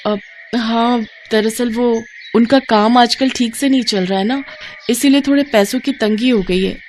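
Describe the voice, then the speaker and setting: A woman's voice: fairly high pitch, measured pace, a little mellow, faint music behind, natural voice. A woman voicing a meek, dutiful young daughter-in-law, speaking politely and hesitantly in a storybook tale.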